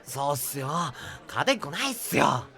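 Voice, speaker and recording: Bright, excitable crew underling. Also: male voice, on the high side, moderate pace, vocal fry, exaggerated voice. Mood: alarmed, warning. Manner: eager, slangy junior speech. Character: excitable, deferential young male crew underling. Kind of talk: Japanese audio drama acting.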